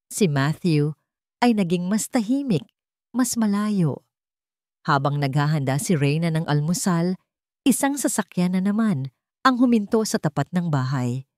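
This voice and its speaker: A woman's voice: on the low side, mellow, dry recording, studio quality. A woman narrator reading a scripted romantic drama story in a dramatic storytelling style.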